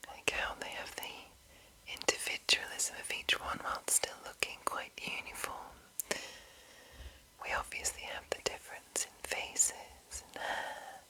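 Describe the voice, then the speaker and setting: A woman whispering gently in an unhurried ASMR style, with a breathy, unvoiced delivery. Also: low-quality recording. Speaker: host of a whispered fantasy reading series. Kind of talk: whispered ASMR commentary and read-aloud.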